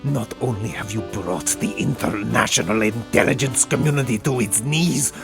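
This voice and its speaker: A man's voice: medium pitch, normal speed, creaky, natural voice. An actor playing a bombastic, vengeful older father in an audio drama, making melodramatic declarations. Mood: outraged. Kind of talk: audio drama villain acting. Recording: music behind the voice.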